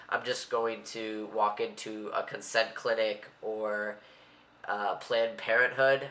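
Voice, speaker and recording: A young man with a stuffy, congested voice talks in a loose, rambling vlog manner, a little anxious underneath. His tone is bright and clear-cut at a middling pitch. The room around him rings with echo. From Maryland, USA. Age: young adult. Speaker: vlogger. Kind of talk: casual vlog monologue.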